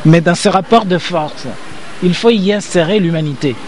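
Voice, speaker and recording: A man's voice: sits high, normal speed, darkish tone, a little hiss or hum. Emphatic lecturing older man. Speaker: interview guest, professor. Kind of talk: interview.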